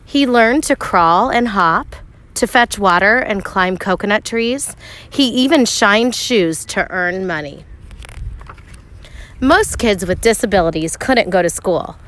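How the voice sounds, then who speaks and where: A woman narrating a children's storybook aloud, expressively, with measured phrasing and dramatic pauses for emphasis. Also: mid-range voice, expressive, fairly bright tone. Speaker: teacher reading a picture book aloud.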